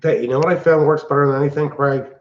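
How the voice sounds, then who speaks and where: An older man, hosting a casual livestream from his open-plan home, talking in a loud, blunt, rambling way. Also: fairly high pitch, steady tone, fast, dull audio. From Pennsylvania. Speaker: livestream host. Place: large open-plan house at night.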